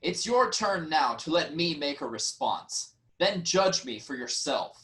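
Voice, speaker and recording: A man playing a wronged, reasoning nobleman in a formal, measured reading of ancient Greek tragedy. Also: fairly high pitch, animated, a bit bright. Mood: reasoning, defensive. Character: wronged, reasoning nobleman, brother-in-law of the king.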